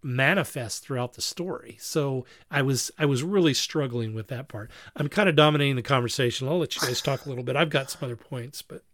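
A man talking casually and critically as a podcast panelist, talkative, with halting, repeated phrases. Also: medium pitch, a bit bright, no echo, clean studio sound. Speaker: podcast panelist reviewing fan productions.

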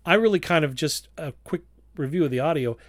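A man's voice: a little high, animated, fairly quick, dry recording, studio quality. A man hosting a casual review discussion, feeling his way through his points in a hesitant, circling way. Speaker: podcast host.

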